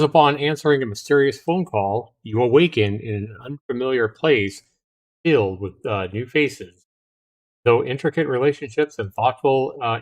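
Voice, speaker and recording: A man's voice: medium pitch, low-quality recording. A man hosting a live stream, chatting casually and reading on-screen text aloud as he comments on a game. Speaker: live streamer, show host. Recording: music under the voice. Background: music.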